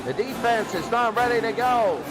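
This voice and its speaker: A man calling simulated football games as a play-by-play announcer, his voice jumping up and getting loud on big plays. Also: very high voice, normal speed, music in the background, poor audio. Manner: excitable, voice jumps up and gets loud on big plays. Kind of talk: simulated radio play-by-play commentary.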